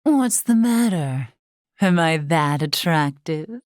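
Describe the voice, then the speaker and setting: A woman's voice: low voice, lively intonation, takes it slowly, dry recording, studio quality. A woman voicing a flirtatious, teasing video game character who loves her drink, sultry and coy with a drawl. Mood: flirty.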